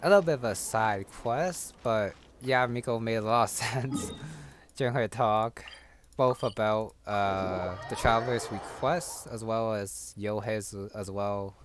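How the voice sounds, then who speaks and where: A man's voice: lowish voice, unhurried, background noise. A man giving casual let's play commentary as he plays a game. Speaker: gamer playing and commenting. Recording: game music behind.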